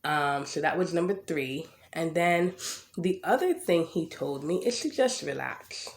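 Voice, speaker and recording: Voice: mid-range voice, animated, a little slow. A podcast host who stutters and has a slight lisp, talking casually about personal experience with frequent fillers and repeated words. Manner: speaks with a stutter, occasional word repetitions; frequent "um" and "right?". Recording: echoey room.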